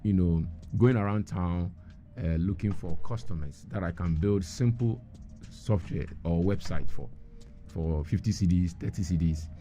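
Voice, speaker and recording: A man's voice: sits low, measured pace, dark tone, faint music behind. A middle-aged man who grew up in Nigeria, a guest in a radio interview, telling his story earnestly with many ums and restarts. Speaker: guest entrepreneur.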